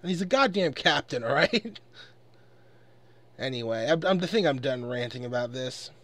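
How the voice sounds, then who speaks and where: A man's voice: mid-range voice, animated, normal speed, a bit bright, no echo. A man delivering an angry, off-the-cuff rant in a profane, exasperated and emphatic way. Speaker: online commentator recording an audio log.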